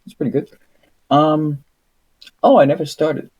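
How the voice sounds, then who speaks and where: A man livestreaming a book reading in a casual, rambling, irreverent way, with a husky voice and an exasperated, sweary manner. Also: a little high, fairly even intonation, a little slow, highs cut off. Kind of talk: casual rambling livestream with read-aloud passages.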